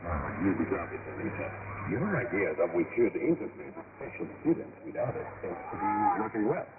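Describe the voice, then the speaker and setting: An actor playing a grateful male school director in an educational radio drama, speaking in an expressive, formal way. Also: sits low, brisk. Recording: noisy shortwave broadcast, tinny band.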